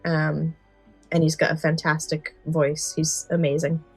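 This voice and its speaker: A woman's voice: low voice, little pitch movement, a little slow, a little mellow, breathy edge, faint music behind, low-quality recording. A woman co-hosting a podcast, chatting casually in an enthusiastic, talkative way. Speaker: podcast co-host. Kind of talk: casual chat.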